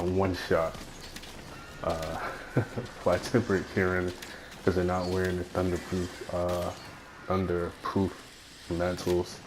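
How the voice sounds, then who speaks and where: A young man with a cold and a raspy voice, rambling through casual gameplay commentary into a phone headphone mic, full of fillers and swearing. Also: sits low, background noise. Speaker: gaming YouTuber.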